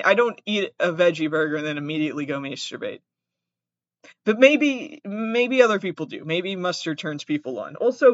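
A man's voice: high voice, sharp tone, no echo. A man with a Massachusetts accent hosting a podcast alone, reading trivia aloud with rambling, self-interrupting jokey asides. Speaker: podcast host. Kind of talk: solo comedic trivia reading. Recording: dull, dry close-mic sound.

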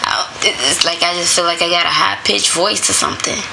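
A woman co-hosting a casual podcast, talking in a lively, giggly, slangy way. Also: lowish voice, fairly quick, gravelly, noisy background. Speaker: podcast co-host. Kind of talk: casual chat.

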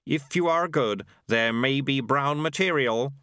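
A man's voice: medium pitch, measured pace, a bit bright, clear, resonant tone, no room sound, clean studio sound, pitched above the performer's own voice, close to an everyday voice. A man voicing a tyrannical, unravelling inhuman official with strained, declamatory delivery in a fantasy audiobook. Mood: fanatical triumph.

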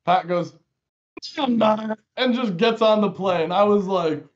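A man's voice: sits high, a little slow, lo-fi sound. A young man from a small town bantering on a podcast, joking, interrupting and prompting his co-host with questions. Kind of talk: casual banter.

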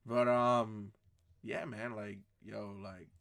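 A man's voice: lowish voice, level tone, a bit bright. Laid-back man hosting a podcast. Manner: laid-back, slangy, drawn-out phrases. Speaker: podcast host. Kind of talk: casual chat.

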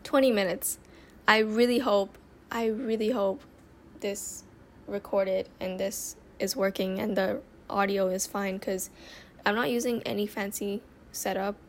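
A young woman from Thailand talks loosely into her phone from her bedroom, hosting a solo chat show. Her voice has a gravelly, fry-edged texture, and she wanders through answers with plenty of ums and restarts. A faint hiss sits under the phone recording. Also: mid-range voice, steady tone, unhurried. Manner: rambling, with many fillers and restarts. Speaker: podcast host. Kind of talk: casual solo chat, answering listener questions. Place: bedroom, by the window.

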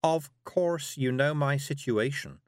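A man doing a fussy, high-pitched lady witch. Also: slow pace, clear, resonant tone, dry recording, clean studio sound, lightly acted. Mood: worried. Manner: apologetic, dithering, genteel. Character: fussy, absent-minded, timid middle-aged woman witch.

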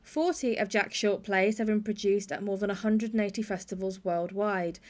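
A woman's voice: medium pitch, moderate pace. A woman hosting a podcast, reading a scripted introduction. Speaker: podcast host.

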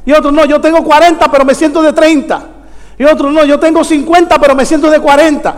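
A man's voice: very high-pitched, little pitch movement, fast talker. A man preaching a sermon to a congregation in an exhorting way, repeating phrases and prompting listeners with rhetorical questions. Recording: echoey hall with long reverb.

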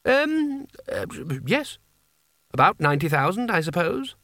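A man's voice: sits high, very animated, brisk, fairly bright tone, dry recording, studio quality, voice pushed up, exaggerated voice. A man voicing a meek, nervous wizard in an audiobook, with a hesitant, faltering delivery. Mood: flustered. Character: timid, anxious male wizard, nervous and meek. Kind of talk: audiobook character voice.

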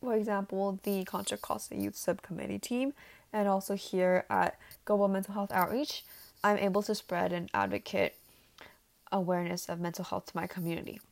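A teenage girl who grew up in Taiwan, giving a prepared personal talk for a youth nonprofit, earnest and a little halting with fillers. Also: medium pitch, steady tone, vocal fry, a little mellow. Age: teens. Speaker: student speaker for a youth nonprofit.

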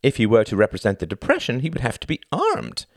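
A man voicing a flamboyant, theatrical thin stage performer in a grandiose, excitable manner. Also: medium pitch, quick pace, no echo, studio quality, pitched above the performer's own voice.

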